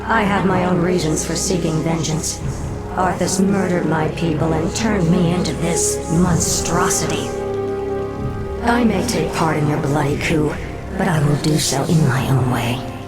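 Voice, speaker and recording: A woman's voice: sits low, a little slow. An actress playing a proud undead elven queen in a fantasy game, cold and bitter with a thirst for vengeance. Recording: loud music behind the voice.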